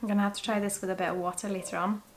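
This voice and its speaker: A woman in her twenties from Sweden with a Swedish accent, chatting excitedly and thinking aloud as she reviews a whisky. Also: mid-range voice, rapid-fire, soft background music. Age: 20s. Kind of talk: casual tasting review.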